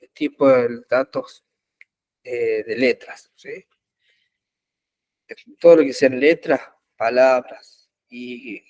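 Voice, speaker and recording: A man teaching an online web development class, explaining code slowly with frequent pauses and fillers. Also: mid-range voice, darkish tone, dry recording. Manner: slow, halting explanation with many pauses and fillers. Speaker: programming instructor. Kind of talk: live online coding class. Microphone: computer mic in an online class.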